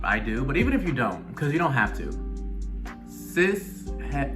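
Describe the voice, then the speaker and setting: Southern guy from atlanta doing a personal commentary vlog, earnest and emphatic, medium pitch, guitar music playing behind him. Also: a bit bright. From Atlanta, Georgia, United States. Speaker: video blogger, show host. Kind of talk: personal spoken commentary to viewers.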